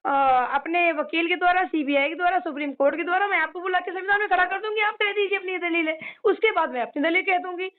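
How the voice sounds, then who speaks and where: A woman's voice: very high voice, fast talker, few pauses. A woman comes through a tinny phone line. She argues insistently, pleading her case and pushing in over the other speaker. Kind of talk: heated phone argument. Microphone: telephone. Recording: tinny, band-limited phone call recording.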